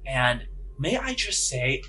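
A man's voice: medium pitch, very animated, slow pace, pushed and bright, slight background noise, heavily acted. A man voicing a nervous, deferential prison guard who stammers and speaks with hesitant, overly polite eagerness in a tabletop game. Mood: apologetic, eager.

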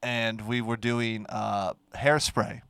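A man, co-hosting a casual comedy podcast and telling stories in an animated way, close to a microphone. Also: fairly low pitch, smooth, no room sound. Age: 20s. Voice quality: nasal. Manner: animated storytelling, quick to laugh. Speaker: podcast co-host.

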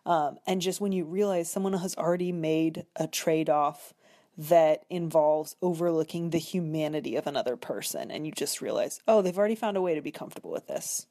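A woman's voice: sits low, brisk, dark tone. A woman speaking as an interview guest in a thoughtful discussion, often hesitating and reworking long sentences as she goes. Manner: reflective, hesitant, self-correcting in long sentences. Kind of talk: conversational interview, literary discussion.

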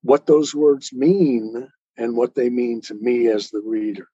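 A man with a husky, slightly dark voice talks reflectively as a guest author. He explains things in a conversational way. The sound is dry and somewhat muffled. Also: medium pitch, fairly even intonation, a little slow, no echo. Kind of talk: reflective podcast interview talk. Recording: dull, muffled lo-fi audio.

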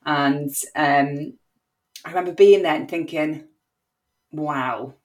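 A talkative woman with a strong Cardiff accent, her voice sitting a little low for a woman. She tells her story in a loose, chatty way, full of ums and quick asides, co-hosting a podcast. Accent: Welsh, Cardiff. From Wales. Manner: chatty, lively, laughs often, many fillers. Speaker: podcast co-host. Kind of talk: casual storytelling chat.

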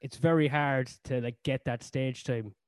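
A man's voice: mid-range voice, steady tone, moderate pace, dry recording, studio quality. A man with a Cork Irish accent, a comedian telling stories in a casual interview, rambling with lots of fillers and casual swearing. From Ireland. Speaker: comedian guest.

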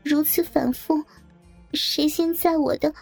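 A woman voicing a distressed, trembling character who recites haltingly and painfully in an audiobook story. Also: high voice, fairly even intonation, vocal fry, darkish tone, no room sound, soft background music, pitched above the performer's own voice, exaggerated voice. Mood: pained, submissive. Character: trembling, distressed person reciting under duress.

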